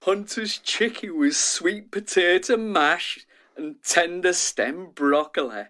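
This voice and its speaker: A man's voice: high voice, takes it slowly, a bit bright. An actor playing an eager, down-to-earth man in a radio drama, chatty and relishing each phrase. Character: eager, down-to-earth man savouring every taste. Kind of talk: audio drama acting.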